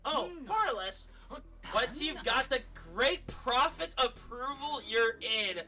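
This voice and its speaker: A woman streaming a game, giving expressive, casual commentary and reading dialogue aloud, sometimes swearing. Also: on the high side, takes it slowly. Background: faint video game music.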